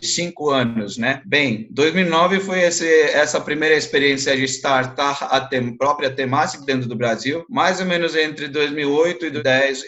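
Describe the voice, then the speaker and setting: A middle-aged man with a foreign Indian accent and a mid-pitched voice talks as an interview guest. He explains his own working life reflectively, in a language that is not his first. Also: fairly bright tone. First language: not a native Portuguese speaker. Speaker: guest, entrepreneur. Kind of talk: interview, recounting own career.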